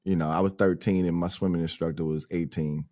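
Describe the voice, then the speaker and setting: A man's voice: deep voice, fairly even intonation, a little fast, darkish tone. A man hosting a podcast, chatting casually in a loose, rambling way with lots of fillers. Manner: loose, rambling talk full of "like" and "um". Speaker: podcast host.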